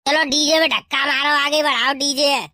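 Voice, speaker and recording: A man voicing a bossy, irritable cartoon father bird, scolding in a rustic western Uttar Pradesh accent through a pitched-up effect. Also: fairly even intonation, normal speed, bright, forceful tone, no room sound, clean studio sound, heavily acted. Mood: bossy, irritated. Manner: scolding, rustic, repetitive cursing. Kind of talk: comic cartoon dub.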